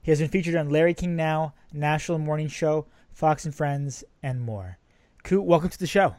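Upbeat, higher-voiced podcast host. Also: male voice, normal speed, darkish tone, no room sound. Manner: upbeat, polished announcer delivery. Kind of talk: scripted intro and interview questions.

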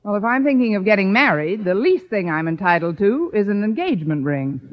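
An actress playing a wry, sharp-tongued American schoolteacher in a 1950s radio sitcom, with dry, sarcastic delivery. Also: female voice, medium pitch, big pitch swings, a little fast, lightly acted. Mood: brisk. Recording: dull vintage radio recording.

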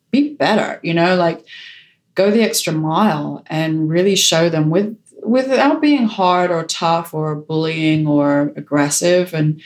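Low-voiced woman, decisive and frank. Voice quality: strong voice. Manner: decisive, frank, self-assured. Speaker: director and photographer. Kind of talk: interview answers.

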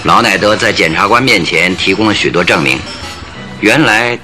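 Shrewd, sharp-voiced radio-drama detective. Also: male voice, on the low side, faint music behind. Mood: probing, composed. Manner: deliberate, probing, theatrical. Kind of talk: Chinese radio drama acting.

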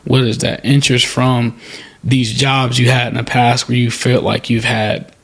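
A man's voice: mid-range voice, flat delivery, normal speed, creaky, husky. A man hosting a podcast, explaining his ideas in a loose, conversational way with lots of "like" and "you know.". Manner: loose, conversational, many fillers. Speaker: podcast host. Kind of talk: casual podcast talk.

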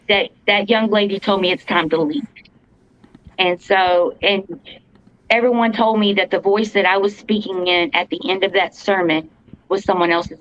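Lady w a fairly deep voice, earnest and halting, dull lofi podcast sound. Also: fairly bright tone. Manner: earnest, halting with fillers and restarts. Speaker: podcast co-host, paranormal investigator. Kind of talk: casual storytelling. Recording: dull lo-fi podcast audio, dry.

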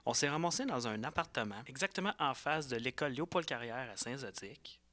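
Bright-voiced Quebec man chatting casually. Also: mid-range voice, fast. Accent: Quebec French. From Quebec, Canada. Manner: animated anecdote telling, slangy and full of swearing. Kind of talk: casual chat and storytelling.

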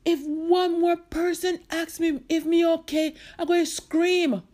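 A woman storyteller voicing an excitable, dramatic Jamaican woman who exclaims and questions herself aloud, with a Jamaican accent. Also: mid-range voice, measured pace, slightly rough. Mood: exasperated, alarmed. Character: excitable Jamaican woman, easily delighted, growing bewildered and panicky. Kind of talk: acted oral storytelling.

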